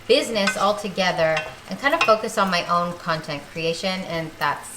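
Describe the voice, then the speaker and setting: A woman in her thirties chats casually and confidently as she cooks, tossing in playful asides. Her voice sits slightly low. The kitchen echoes, with a faint hiss and a sizzling pan behind her. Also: normal speed. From Canada. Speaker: vlogger. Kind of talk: casual chat while cooking. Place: home kitchen.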